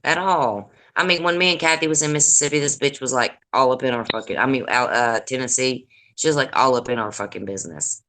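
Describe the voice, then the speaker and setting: An older woman with a deep raspy voice and a Deep Southern accent, hosting a casual livestream chat in a blunt, profane, gossipy way. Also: brisk, few pauses. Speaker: livestream host. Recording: noisy livestream audio.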